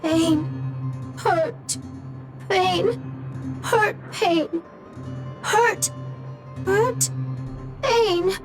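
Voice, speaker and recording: A woman voicing a small childlike robot in a video game, talking haltingly in clipped fragments of a word or two. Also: extremely high pitch, exaggerated voice. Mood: pained, distressed. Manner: halting, one or two words at a time. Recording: music behind the voice.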